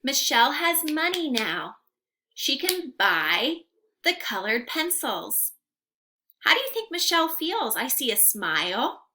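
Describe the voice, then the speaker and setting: High, animated young woman teaching little children. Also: a little slow. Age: young adult. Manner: animated, sing-song, carefully enunciated with questions to young listeners. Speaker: teacher reading aloud to children. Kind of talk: interactive children's read-aloud lesson. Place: room at home.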